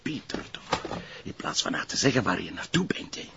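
A man's voice: fairly low pitch, very animated, normal speed, creaky, bright, forceful tone, husky, full character voice. A man with a Flemish accent playing a dutiful, mild, slightly fussy police sergeant in naturalistic TV drama dialogue. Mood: harried. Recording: dull lo-fi TV soundtrack.

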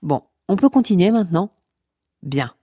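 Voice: mid-range voice, animated, normal speed, dark tone, slightly rough, no echo, studio quality. Une personne qui joue la narration omnisciente d'une fiction audio comique, pompeuse, théâtrale, taquine avec son auditoire. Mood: pompous, impatient. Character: pompous, mock-scholarly omniscient narrator addressing listeners. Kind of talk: scripted comic fiction narration.

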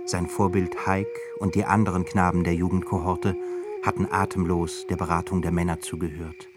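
A man with a very deep voice narrates a radio drama in a measured, grave tone. Music plays clearly beneath his words throughout. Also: level tone. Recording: music bed under voice.

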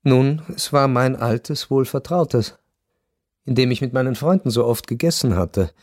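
An actor playing a melancholy, estranged husband home from war, narrating a radio drama in a measured, reflective, wry way. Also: male voice, medium pitch, a little mellow, dry recording, studio quality, natural voice.

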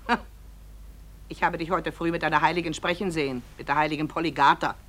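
An actress playing a sour, prim older wife in a radio play, nagging and scornful. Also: female voice, low voice, fairly even intonation, fast talker, fairly bright tone.

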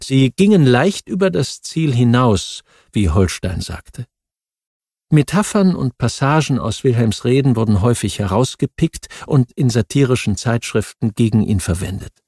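A man reads non-fiction history as a scripted audiobook, measured and articulate. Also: medium pitch, big pitch swings, darkish tone, husky, no room sound, studio quality. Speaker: audiobook narrator.

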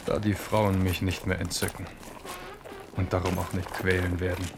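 A man's voice: low-pitched, level tone, moderate pace. An actor playing a weary, reflective French king in a German radio play. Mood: wistful. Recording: 1970s radio drama, clear background noise.

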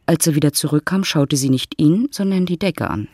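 An actress playing a wry, composed storyteller, narrating an urban love story in a German radio play. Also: female voice, low-pitched, brisk, darkish tone, no echo.

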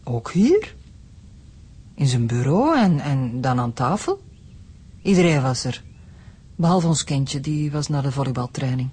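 An actress playing a distraught, weary Flemish farmer's wife and mother in a radio drama, with a husky voice. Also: a bass voice, big pitch swings, unhurried, long pauses, mellow, heavily acted. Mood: wary, subdued.

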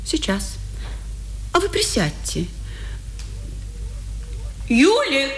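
An actress playing a dignified, steadfast older mother in a 1950s radio drama, measured and dryly ironic. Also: high-pitched, wildly swooping pitch, slight background noise, full character voice. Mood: guarded defiance.

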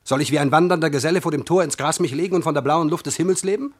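An actor playing a gruff, greedy, domineering servant in a classical verse radio comedy, blunt and scornful in manner. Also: male voice, fairly high pitch, very fast, lightly acted. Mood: grumbling, smug. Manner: scolding, blunt, theatrical.

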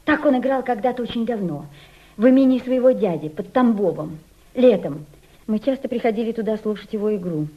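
An actress playing a homesick, quietly defiant Russian émigré woman in a Soviet radio drama, with a lyrical, dreamy delivery. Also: fairly high pitch, animated, a little fast. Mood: dreamy nostalgia. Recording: dull lo-fi radio play recording.

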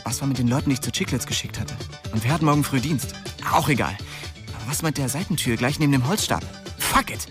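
Male actor, young man, radio drama narrator, intimate, wry, slangy, lovestruck, mid pitch, music behind. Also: animated, quick pace, natural voice. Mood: frantic. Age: young adult. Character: lovestruck, restless young man narrating his small-town life. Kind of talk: radio drama first-person narration and dialogue.

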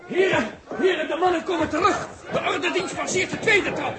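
High-pitched, stately alien elder. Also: male voice, fairly quick. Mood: urgent, alarmed. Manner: stately, courteous, formally worded. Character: formal, anxious elder of an alien people. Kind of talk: 1960s Dutch radio play acting. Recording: noisy old radio recording with crowd clamour.

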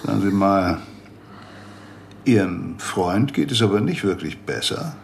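A man's voice: sits low, expressive, a little slow, creaky, a little hiss or hum, exaggerated voice. A man playing a rambling, conspiracy-minded doctor in a radio play, lecturing with theatrical emphasis. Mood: conspiratorial, lecturing.

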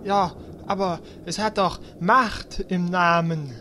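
A man's voice: sits high, fairly even intonation, takes it slowly, sharp tone, slight background noise, exaggerated voice. A man acting a naive, stubborn, proud paladin in a comedic fantasy audio drama, earnest and pompous. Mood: proud, defiant.

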